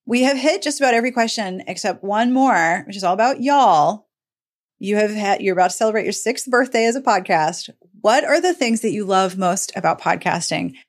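A woman's voice: mid-range voice, expressive, brisk. A woman hosting a casual podcast conversation, talkative and emphatic with a wry touch. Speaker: podcast host.

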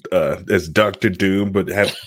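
A man's voice: low voice, steady tone, a little slow, very noisy. A man co-hosting a casual podcast, giving opinions in a blunt, joking way. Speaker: podcast co-host.

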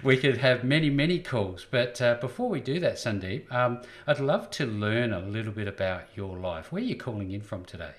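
A man's voice: mid-range voice, expressive. A man hosting a business interview podcast, reading an upbeat show intro and asking his guest questions. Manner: upbeat, fast promotional delivery with light laughs. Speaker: podcast host.

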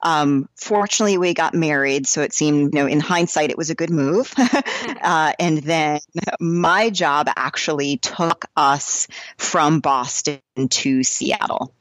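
A woman's voice: low voice, measured pace, no echo, poor audio. The enthusiastic, chatty American woman in her forties you would hear as the guest on a hobby runners' podcast, recorded dry and close. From New Hampshire, United States. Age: 40s. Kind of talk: casual conversational storytelling.

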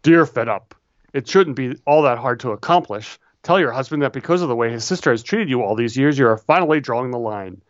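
A man's voice: medium pitch, animated, fairly quick, no room sound, dull audio. A man co-hosting a casual chat, reading advice letters aloud with easygoing, dry humor. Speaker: podcast co-host.

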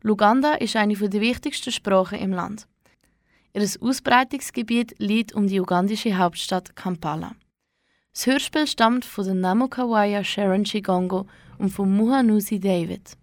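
A woman's voice: medium pitch, normal speed, dry recording, studio quality. A woman presenting a podcast, reading a scripted, explanatory introduction to a radio play.

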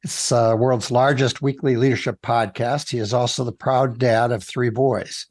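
A man's voice: mid-range voice, fairly even intonation, moderate pace, dry recording. An older man hosts an interview show, formally reading out introductions and putting questions to his guest. The recording is dry and close, with almost no room sound. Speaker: host. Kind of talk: interview hosting.

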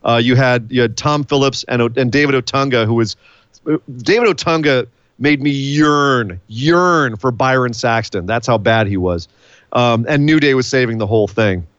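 A man's voice: medium pitch, moderate pace, clear, resonant tone, dry recording, highs cut off. Man chatting casually on a podcast. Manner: animated, playful, hypes announcements. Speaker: podcast co-host. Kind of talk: casual wrestling podcast chat.

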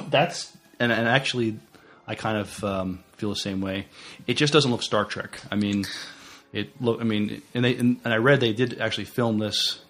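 A man's voice: lowish voice, fairly even intonation, moderate pace, a bit bright, faint music behind. A man co-hosting a podcast in casual chat, hesitant and repeating words as he forms his opinions. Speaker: podcast co-host.

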